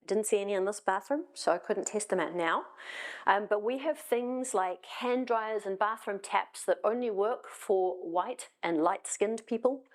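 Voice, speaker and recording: A woman's voice: medium pitch, measured pace. A middle-aged woman from South Africa with a South African accent, giving a conversational talk on stage with wry asides and frequent ums. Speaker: conference speaker. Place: stage in a hall.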